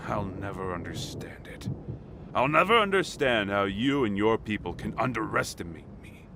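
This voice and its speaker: A man's voice: fairly low pitch, sing-song, normal speed, gravelly, slight background noise, full character voice. A man playing a proud, scornful god-king warlord in a fantasy audio drama. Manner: arrogant, mocking, rising to shouts.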